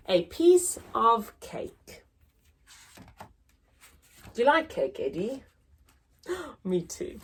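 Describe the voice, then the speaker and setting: A woman's voice: medium pitch, slight background noise. A woman reading a children's story aloud as a story-time teacher, dramatic and addressed to young listeners. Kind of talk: expressive children's read-aloud.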